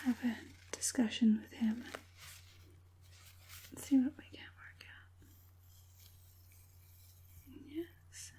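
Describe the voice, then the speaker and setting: A woman playing a sinister, coaxing witch puppet master in a whispered ASMR roleplay, speaking softly and deliberately in a breathy whisper. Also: a little high. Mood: calm, scheming. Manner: slow, soothing, deliberate, menacingly sweet.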